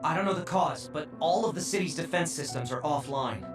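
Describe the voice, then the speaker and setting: An actor playing a young male support operator in a video game cutscene, steady and urgent as he gives instructions. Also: on the high side, brisk, reverberant, recorded from a distance, lightly acted. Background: music.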